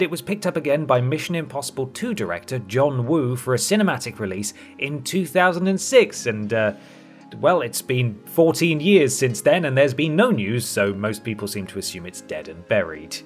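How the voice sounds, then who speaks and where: A man with a mid-pitched voice reads a scripted countdown. His delivery is wry, dropping in tongue-in-cheek asides, and music plays underneath him. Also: sing-song, normal speed. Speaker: presenter of a video game list show. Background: music bed.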